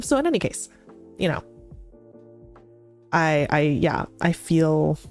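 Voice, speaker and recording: A young adult woman streaming as a VTuber, talking in a chatty, rambling way with many fillers and restarts. Her voice is fairly deep. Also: warm tone. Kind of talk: casual chat stream. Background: faint background music.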